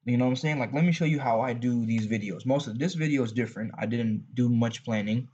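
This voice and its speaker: Texan male host, mid pitch, husky voice, loose rambling delivery, dull home recording. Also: quick pace, darkish tone. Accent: Southern US, Texas. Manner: rambling, restarts, frequent asides. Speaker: religious channel host. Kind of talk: unscripted advice talk.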